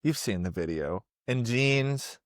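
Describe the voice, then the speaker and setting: A man's voice: mid-range voice, lively intonation, slow pace, no echo, clean studio sound. A man hosting a casual podcast, asking curious questions and tossing in joking asides. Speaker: podcast host.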